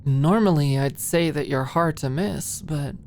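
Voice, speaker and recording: Woman playing a wry young woman, low-voiced. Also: measured pace, darkish tone, a little hiss or hum. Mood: wry teasing.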